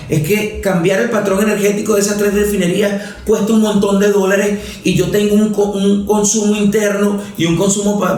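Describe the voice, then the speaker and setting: A man's voice: high voice, darkish tone. A man giving a conversational political talk, fluent but full of restarts, fillers and rhetorical questions. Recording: very echoey room.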